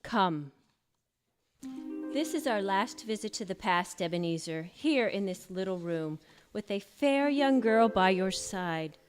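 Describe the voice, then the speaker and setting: A woman's voice: medium pitch, animated, a little slow, faint music behind, lightly acted. A woman playing a solemn, knowing spirit guide, questioning and commanding in a theatrical radio drama. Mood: stern.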